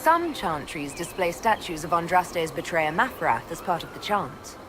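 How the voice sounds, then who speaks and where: A woman's voice: on the low side, expressive, moderate pace, background noise, lightly acted. An actress playing a blunt, skeptical woman adventurer in a fantasy game, talking in a plain, wry way.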